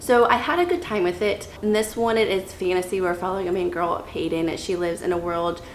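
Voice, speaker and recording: A woman's voice: lowish voice, fairly quick, reverberant, a little hiss or hum. A woman in her thirties chatting casually and enthusiastically to viewers as a book vlogger, sounding slightly stuffy and repeating words for emphasis. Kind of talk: casual chatty reading wrap-up to camera.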